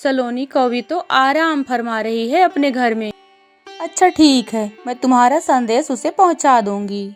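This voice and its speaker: A woman's voice: high voice, expressive, measured pace, soft background music. A woman voicing a chatty, teasing female parrot in a children's cartoon story, exclamatory and chiding.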